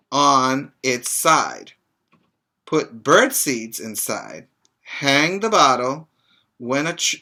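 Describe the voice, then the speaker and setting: A middle-aged man, a preschool teacher, reading and explaining to young children in a simple, expressive way with short asides. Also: medium pitch. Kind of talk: reading a children's book aloud and chatting to young pupils. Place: small room, indoors. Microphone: webcam or laptop mic at arm's length.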